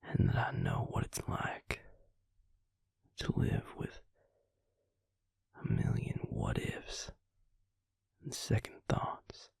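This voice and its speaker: A man playing a brooding, protective vampire lover in an intimate audio roleplay, whispering slowly and haltingly with a breathy, gravelly voice. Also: no echo, close to an everyday voice. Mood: bitter, confiding. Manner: slow, halting delivery with pauses.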